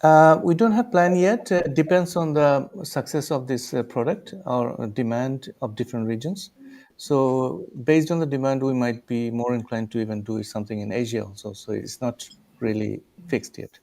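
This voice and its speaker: A man speaking as a startup founder on a video call. His voice is mellow and warm at a middle pitch. He answers haltingly, with frequent "uh"s and repeated words. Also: creaky. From Bangladesh. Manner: hesitant, restarts phrases, many "uh"s. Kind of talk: interview answers.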